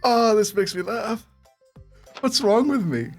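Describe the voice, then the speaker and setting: A woman's voice: medium pitch, expressive, unhurried, darkish tone, soft background music. A woman giving casual game commentary as a streamer, playful, giggly and sweary. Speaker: gaming streamer.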